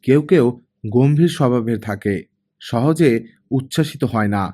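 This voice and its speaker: A man's voice: medium pitch, normal speed, mellow. A man reading a work of fiction as an audiobook narrator, in a dramatic storytelling style.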